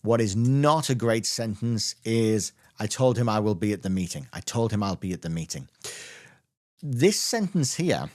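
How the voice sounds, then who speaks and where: Low-voiced man teaching grammar. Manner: patient, slows down on key words. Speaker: English teacher. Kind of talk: explaining grammar to camera.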